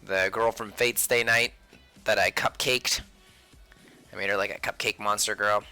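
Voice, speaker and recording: A man's voice: fairly low pitch, steady tone, normal speed, sharp tone, smooth, faint music behind. A man hosting a casual drawing livestream, chatting playfully with viewers and talking to himself as he works. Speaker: livestream host, sketch artist.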